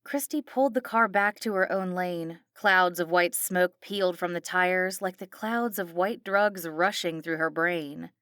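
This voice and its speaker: Female narrator for horror fiction. Crisp-edged, bright, mid-range delivery, dramatic, dry recording. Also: lowish voice, unhurried, few pauses, no room sound. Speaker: audiobook narrator.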